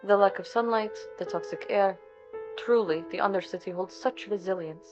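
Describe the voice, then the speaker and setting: A woman playing a fastidious, exasperated investigator in a narrative audio drama, giving orders and musing aloud to herself. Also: medium pitch, highs cut off, music in the background, close to an everyday voice. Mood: pensive.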